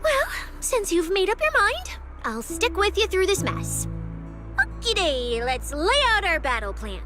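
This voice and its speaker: A very high-pitched young policewoman from a video game, lazy and food-loving. Her delivery swings up and down with cheeky, hammy drama and little ums. Music plays clearly behind her. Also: very animated, unhurried, full character voice. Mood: resigned, scheming. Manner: playful, hammy, hesitant asides with ums and hums. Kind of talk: video game story voice acting.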